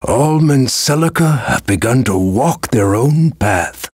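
An older man playing a humble, stern old knight and grandfather in a video game, with a gravelly, husky voice and a measured, grave manner. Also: mid-range voice, expressive, a little mellow, no room sound, studio quality. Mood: humble, kindly. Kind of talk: video game character voice acting.

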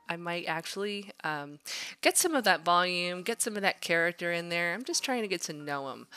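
A woman's voice: on the low side, pushed and bright. A woman art instructor casually narrating a drawing demonstration, thinking aloud in an informal, encouraging way. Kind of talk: casual drawing tutorial narration over a screen demo.

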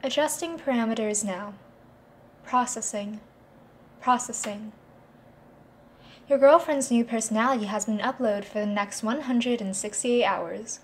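A calm female AI system voice, put on by a woman for an audio roleplay. It speaks in flat, measured announcements, unhurried and a touch high. Faint background noise sits under it. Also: close to an everyday voice. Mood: flat, announcing.

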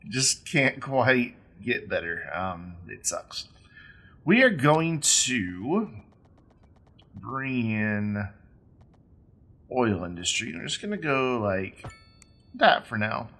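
A man with a rough, raspy voice from being sick, talking casually as he plays a game, rambling with ums and blunt asides. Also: medium pitch, a bit bright. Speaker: let's play host. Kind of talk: casual gameplay commentary.

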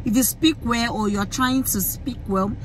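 A young Nigerian woman with a Yoruba-flavoured accent, vlogging into a phone in a car, talking in an animated, emphatic way with many fillers and restarts. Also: a little high, measured pace, a little hiss or hum, rough recording. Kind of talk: casual rant to camera. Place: inside a parked car. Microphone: phone camera held close.

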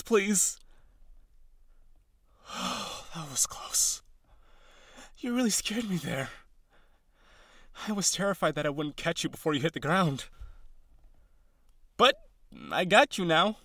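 A man performs an eager, nervous male harpy for an audio roleplay. His voice sits a little high for a male and moves through lively swings of pitch. He is awkward, gentle and excitable, talking directly to the listener. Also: no echo, clean studio sound, heavily acted. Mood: flustered, worried. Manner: hesitant, gentle, excitable, dramatic.

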